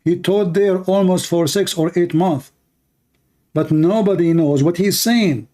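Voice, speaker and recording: A man with Arabic as his first language hosts a live religious call-in show. His voice is fairly high and mellow, and he debates conversationally, pressing his point with repeated questions. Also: a little slow. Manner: insistent, questioning, repeats himself.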